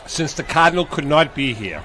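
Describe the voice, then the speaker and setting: Guy hosting live parade comedy on radio, high voice, excitable, repeats himself, noisy background. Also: a little fast, a faint crowd. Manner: excitable, repetitive, playful. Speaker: radio show host. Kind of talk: comic live parade commentary.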